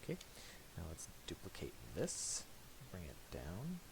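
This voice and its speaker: A man talking through a software tutorial casually, thinking aloud in a hesitant, piecemeal way. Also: deep voice, creaky. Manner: hesitant, halting, piecemeal delivery. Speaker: tutorial presenter. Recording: steady hiss and clicking noise.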